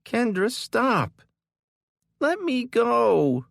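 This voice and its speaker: A stubborn, whiny little girl, voiced by a man, digs in her heels in a high, childish voice. She sounds defiant and on the edge of tears. The audiobook reading is dry and close. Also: sing-song, measured pace, creaky, a bit bright, dry recording, heavily acted. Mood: screaming. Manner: defiant, whining.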